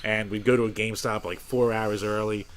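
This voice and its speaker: A man's voice: lowish voice, little pitch movement, fairly quick. A man co-hosting a podcast, bantering casually about games in a profane, self-mocking way. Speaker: podcast co-host.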